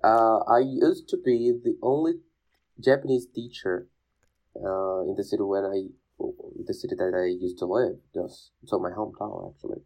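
Low-voiced man, halting English. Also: a little mellow, poor audio. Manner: emphatic, repeats phrases, halting non-native English. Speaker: podcast guest, language learner and teacher. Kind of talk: casual conversational interview.

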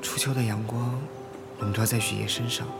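An actor playing a timid, introspective male high school student in an audio drama, speaking gently and hesitantly. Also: flat delivery, normal speed, creaky, over loud music. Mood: tender, wistful. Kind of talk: audio drama acting and inner narration.

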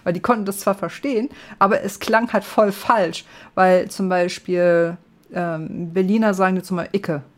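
A man's voice: sits high, steady tone, moderate pace. A man from northern Germany with a northern German accent, hosting a podcast in casual chat, hesitant with many fillers and frequent laughs. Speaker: podcast host.

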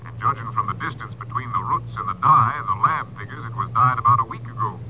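An actor playing a clipped, official Texas Ranger captain in an old-time radio crime drama. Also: male voice, mid-range voice, quick pace, narrow, tinny audio, slight background noise, lightly acted. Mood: brisk, pointed. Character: brisk Texas Ranger captain reporting from headquarters.

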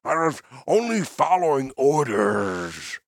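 Buzzing, gravelly, grovelling monster. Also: male voice, medium pitch, sing-song, takes it slowly, voice pushed up, exaggerated voice. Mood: servile pleading. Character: monstrous insect-jawed male mercenary beast, grovelling before master.

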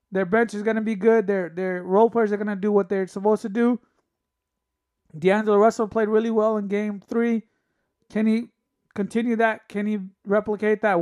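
A man with a high-pitched voice, a little raspy from a lingering cough, hosts a solo sports podcast. He talks in a loose, opinionated way, thinking aloud with plenty of fillers. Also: a little mellow. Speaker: podcast host.

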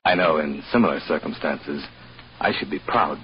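An actor playing a smooth, scheming hotel manager who narrates his murderous plans in a formal, measured way, in old-time radio drama style. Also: a bass voice, slight background noise. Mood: smooth, courteous. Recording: muffled old radio recording, loud music behind.

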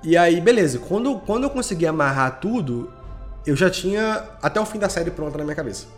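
Higher-voiced Brazilian man chatting over music. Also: expressive, fairly quick. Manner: informal, slangy. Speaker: podcast creator being interviewed. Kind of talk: casual chat.

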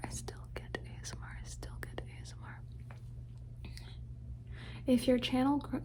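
A young adult woman whispering an ASMR question-and-answer session into a phone, rambling and softly repeating words as triggers. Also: a little high. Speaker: ASMR creator.

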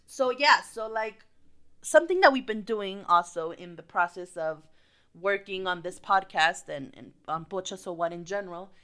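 Smooth, bright-voiced bilingual woman host. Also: mid-range voice, normal speed. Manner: chatty, code-switching, lots of fillers like "like" and "so". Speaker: podcast co-host. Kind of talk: casual podcast chat.

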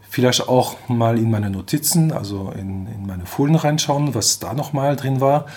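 A man's voice: medium pitch, a little mellow. A middle-aged man from Belgium with a French accent, a professor answering interview questions, explaining step by step with frequent hesitations. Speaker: professor of applied mechanics.

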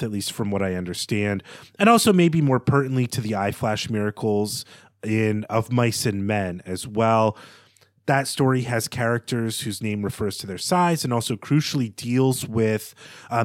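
A man's voice: medium pitch, dry recording. Thoughtful male podcaster in a dry space. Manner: tentative, hedging, thoughtful. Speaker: podcast co-host. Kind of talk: analytical literary discussion, unscripted.

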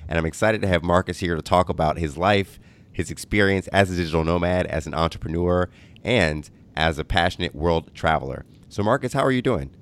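A man's voice: sits low, fairly quick. A man hosting a podcast interview, upbeat and full of praise, with frequent uhs while asking his questions. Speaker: podcast host.

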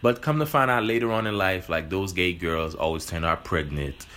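A man hosting a loose, casual interview with a lowish voice. He cuts in often, saying "wait" and "hold on" to stop his guest, then asks his questions bluntly. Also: moderate pace, heavy background noise. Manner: interrupts, says "hold on" a lot. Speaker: host.

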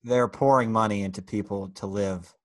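A man's voice: lowish voice, fairly even intonation, normal speed. A man co-hosting a casual podcast debate in a blunt, argumentative way. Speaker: podcast co-host.

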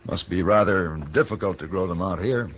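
An American man playing a grave, courteous gunfighter for hire in a radio western, speaking in a measured, brooding way. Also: deep voice, slight background noise, lightly acted. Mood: somber, gentle. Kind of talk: 1950s radio western acting. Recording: muffled 1960 radio broadcast.

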